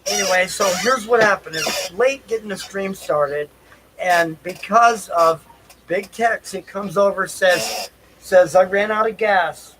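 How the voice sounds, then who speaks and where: A man telling a casual, rambling story on a livestream in a halting, repetitive, folksy way. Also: high-pitched, noisy background. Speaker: livestream host. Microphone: stream microphone.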